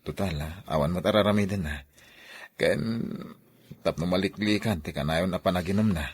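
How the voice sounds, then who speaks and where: Guy acting bossy sneaky husband in a radio drama, deep husky voice, ilocano filipino accent. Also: normal speed. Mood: urgent, scheming. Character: secretive husband Alex, commanding, scheming over his hidden child.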